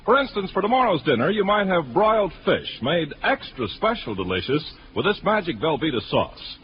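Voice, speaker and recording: High, animated American male radio announcer. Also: normal speed, dull audio. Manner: polished sales pitch. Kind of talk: scripted 1940s radio advertising and announcements. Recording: noisy, band-limited 1940s radio broadcast.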